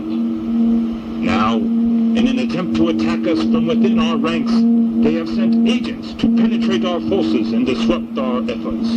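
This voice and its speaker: An actor playing a bombastic military leader giving a formal, declamatory wartime address in a sci-fi audio drama. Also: male voice, high voice, very noisy. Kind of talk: rallying political speech in sci-fi audio drama.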